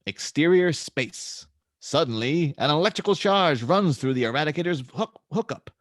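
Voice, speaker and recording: A man's voice: a little high, lively intonation, normal speed, no room sound, clean studio sound. A man narrating scene descriptions and stage directions for a script table read.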